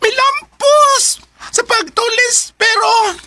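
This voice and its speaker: Very shrill, excitable Bisaya employee. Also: female voice, slow pace, very noisy. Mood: excited, breathless news. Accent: Filipino, Bisaya. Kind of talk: radio drama acting.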